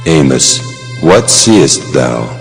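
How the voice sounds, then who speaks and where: Thunderously deep, echoing God. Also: male voice, big pitch swings, full character voice. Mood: solemn. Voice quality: very deep, weighty. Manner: solemn, deliberate. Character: the Lord God, stern commanding divine judge. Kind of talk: dramatized scripture reading. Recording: long cavernous reverb under music.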